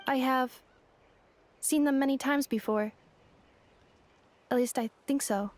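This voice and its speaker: An actress voicing a calm, analytical female android in a video game, speaking in flat, precise phrases. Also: high-pitched, slow, slight background noise. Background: game music.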